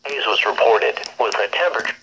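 An automated male announcer reading a scripted weather bulletin in a flat, synthetic way, played through a weather radio speaker. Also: on the low side, a little fast, a little hiss or hum, poor audio. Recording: radio broadcast re-recorded from speaker, background babble.

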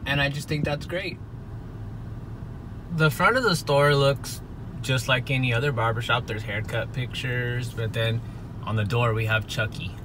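A man's voice: mid-range voice, fairly even intonation, unhurried. Chatty older man on a noisy street. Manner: chatty, musing, self-interrupting. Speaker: vlogger. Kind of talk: casual walking vlog. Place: outdoors on a city street. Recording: street noise outdoors.

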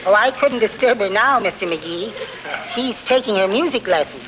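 An actor playing a meek, prissy henpecked husband with a mild, hesitant manner in 1940s radio comedy. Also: male voice, sits high, lively intonation, normal speed. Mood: timid. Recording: noisy old radio recording.

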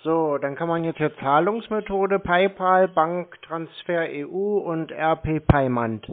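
A man chatting casually on a phone call in a deadpan, dithering, colloquial way. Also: fairly high pitch, unhurried. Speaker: scambaiter playing along with caller. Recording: thin narrowband phone-like sound.

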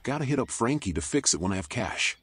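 A man voicing a world-weary raccoon private eye in a noir game, wry, sardonic and deadpan. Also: mid-range voice, fast talker, breathy edge. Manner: dry, wry, deadpan muttering. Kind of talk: noir game voice acting, internal monologue and dialogue.

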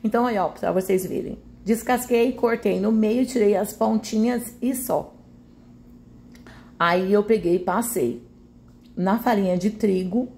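A woman's voice: mid-range voice, measured pace, darkish tone. Chatty Brazilian woman narrating a recipe. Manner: chatty, homely, often seeking agreement with tag questions. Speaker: home cooking video host. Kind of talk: casual recipe voice-over.

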